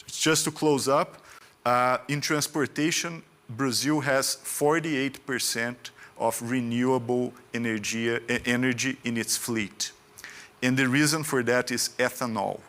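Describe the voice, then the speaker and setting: A man speaking as a panelist at a conference, explaining at length with frequent pauses and fillers. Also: medium pitch, fairly even intonation, a bit bright. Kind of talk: panel discussion remarks.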